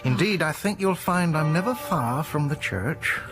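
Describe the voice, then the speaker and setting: Lilting, formal verger. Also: male voice, on the high side, lively intonation, moderate pace, over music. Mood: deferential. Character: deferential, watchful male church verger.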